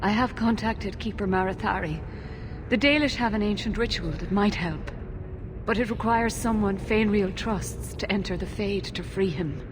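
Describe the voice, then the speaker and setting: Anxious, gentle elf mother voiced by an actress. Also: moderate pace, lightly acted. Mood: anxious, grateful. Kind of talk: video game voice acting. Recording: noisy game capture with rumble.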